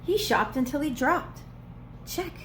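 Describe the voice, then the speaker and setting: A woman reading a children's storybook aloud as a preschool teacher would, with expressive, playful emphasis. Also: high-pitched, a little slow, slight background noise. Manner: dramatic, playful emphasis on repeated words.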